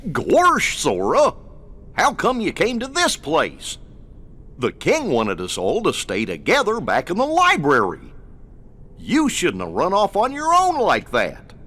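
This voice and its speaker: A loyal, silly cartoon dog in a game. The voice is husky and forceful, leaping all over in pitch, yet drawls slowly and folksily with a good-natured air. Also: male voice, huge pitch swings, long reverb tail, full character voice. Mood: concerned, friendly. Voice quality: husky, hooting. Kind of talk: animated game cutscene acting.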